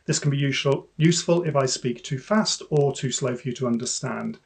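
A British man in his fifties, an English teacher, reciting a poem with careful, deliberate enunciation. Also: medium pitch, vocal fry, husky.

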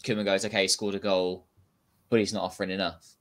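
A young man co-hosting a casual football chat over a video call from home, talking quickly and chattily and reading out viewer comments. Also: low voice, level tone, a bit bright.

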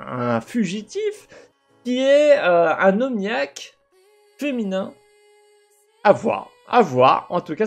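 A young man hosting a gaming video, commenting over gameplay with lots of fillers and restarts, on a headset mic. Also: high voice. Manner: animated, talks with his hands, many fillers and restarts. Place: home room with gaming chair.

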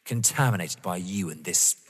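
A man acting a defiant, contemptuous, wounded rival in an audio drama, husky and emphatic. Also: low voice, moderate pace. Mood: wounded defiance. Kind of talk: dramatic stage-play acting. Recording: long echoing reverb.

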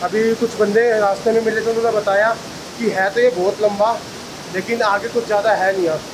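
A young man with a fairly high voice talks to his viewers while scrambling through a slippery cave, calling them guys and brother. He sounds tired and a little out of breath. The phone mic picks up loud rushing water all around him. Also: flat delivery, noisy background. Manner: chatty, addresses viewers as guys and bhai. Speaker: travel vlogger. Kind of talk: casual selfie vlog narration. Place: wet rocky cave and forest trail outdoors, by a waterfall. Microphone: handheld phone camera at arm's length. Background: waterfall and stream.

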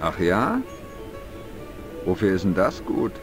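A man's voice: deep voice, sing-song, slow pace, exaggerated voice. An actor playing a simple, gullible, talkative village man in an adventure game, with naive, colloquial speech. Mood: chatty, baffled. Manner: naive, colloquial, exaggerated swings of emphasis. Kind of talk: dubbed adventure game dialogue. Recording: music behind the voice. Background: game music.

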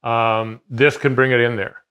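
A man's voice: medium pitch, level tone, moderate pace, a bit bright, clean tone, poor audio. Rambling middle-aged man in a garage workshop. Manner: rambling, many fillers and restarts. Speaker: CNC machine dealer. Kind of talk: casual explanation in a workshop conversation. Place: large home garage workshop.

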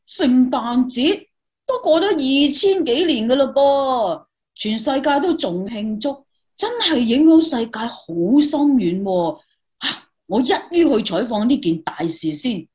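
Eager young female reporter, played by a woman, high and very animated, Hong Kong Cantonese accent, exclamatory radio-play delivery. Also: measured pace. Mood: earnest, fretful. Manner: exclamatory, expressive. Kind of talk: Cantonese radio drama dialogue.

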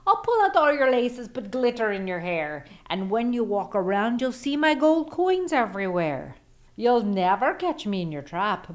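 Woman reading as a lively, cocky little leprechaun. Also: mid-range voice. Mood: mischievous. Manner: taunting, singsong rhyming. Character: cocky, mischievous little male leprechaun, two hundred years old.

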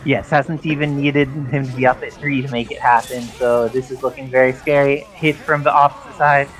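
A man's voice: medium pitch, steady tone, normal speed, fairly bright tone, rough recording. Man commentating over game music. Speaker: esports commentator. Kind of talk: live fighting game play-by-play commentary. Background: game music under the commentary.

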